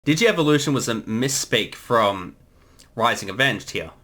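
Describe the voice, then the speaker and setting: A man's voice: medium pitch, animated, moderate pace, sharp tone. A man speaking as a series creator, giving a casual commentary.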